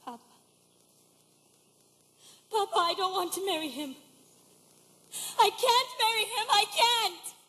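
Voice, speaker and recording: A woman's voice: very high-pitched, animated, unhurried, long pauses, bright, forceful tone, exaggerated voice. A woman playing a desperate, pleading young village daughter in a stage musical, with emotional delivery. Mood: pleading, distraught. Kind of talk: stage musical acting, projected. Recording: echoey hall stage recording from afar.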